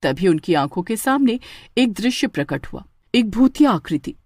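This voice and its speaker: A woman narrates a village horror story in a dramatic, scripted way. Her pitch rises and falls widely, and the recording is dry and close. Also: very animated, moderate pace, a little mellow, no echo. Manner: dramatic, with stammering in character lines.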